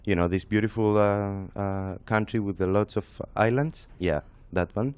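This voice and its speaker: A young man with a Greek accent, co-hosting a real estate radio talk show, halting with many fillers. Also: on the low side, a little mellow, clear, resonant tone, dry recording. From Greece. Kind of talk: casual radio chat. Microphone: studio microphone.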